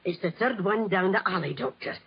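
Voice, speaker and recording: Very husky, kindly housekeeper. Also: female voice, medium pitch, fairly quick. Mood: helpful. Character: kindly, deferential housekeeper, helpful and fussing. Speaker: actress playing a housekeeper. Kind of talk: 1940s radio acting. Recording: muffled, band-limited 1940s radio recording.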